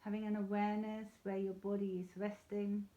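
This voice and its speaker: A woman leading a guided yoga nidra meditation in a soothing, unhurried, gently reassuring way. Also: mid-range voice, level tone. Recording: slightly dull, echoey room sound.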